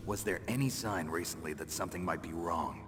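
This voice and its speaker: An actor playing a world-weary former special forces soldier in a video game, terse, wry and questioning. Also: male voice, sits low, brisk, over music, close to an everyday voice. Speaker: retired operative being briefed. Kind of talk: dramatic video game voice acting. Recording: echoing reverberant hall, noisy game audio.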